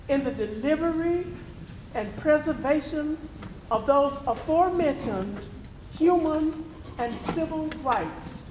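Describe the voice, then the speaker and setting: A woman delivering scripted theatrical narration as a stage narrator, solemn and declamatory, with deliberate phrasing. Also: high voice. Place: large hall, live stage performance. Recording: echoey hall, faint noise.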